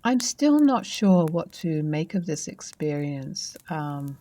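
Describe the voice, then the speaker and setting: A woman host reading a first-person paranormal story aloud and then chatting about it in a calm, measured way. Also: sits low. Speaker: host and narrator.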